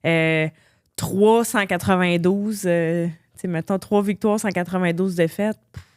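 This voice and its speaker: A woman's voice: lowish voice, fairly even intonation, a little slow, smooth, dry recording, studio quality. A woman in her thirties with a Québécois French accent, a boxer chatting as a podcast guest with many fillers. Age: 30s. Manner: chatty, frequent fillers, laughs easily. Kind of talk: casual conversation.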